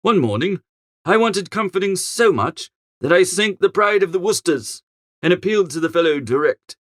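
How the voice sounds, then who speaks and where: A man reading a storybook in character as a chatty, flustered young gentleman, with a posh, exclamatory, grumbling manner. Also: sits high, animated, normal speed, no echo, clean studio sound. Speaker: audiobook narrator. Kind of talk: animated first-person storybook narration in character.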